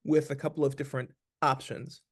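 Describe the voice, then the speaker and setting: A man speaking as an actor being interviewed, explaining things casually in a thoughtful, deliberate way. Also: mid-range voice, little pitch movement.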